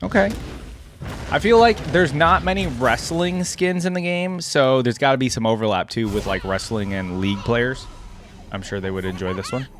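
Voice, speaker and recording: A man's voice: mid-range voice, expressive, normal speed, slight background noise. A man giving casual reaction commentary as a gamer, thinking aloud in an informal way. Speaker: gamer reacting to game character skins.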